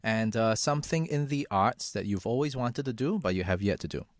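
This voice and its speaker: A man hosting a podcast, asking questions in a relaxed, easygoing way with frequent uhs. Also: on the low side, fairly quick, no echo. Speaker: podcast host. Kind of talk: casual interview chat.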